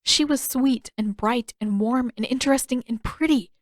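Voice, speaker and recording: A woman's voice: on the high side, moderate pace, no echo. A woman reading a story aloud as an audiobook-style narrator. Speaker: podfic narrator. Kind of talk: scripted fiction narration.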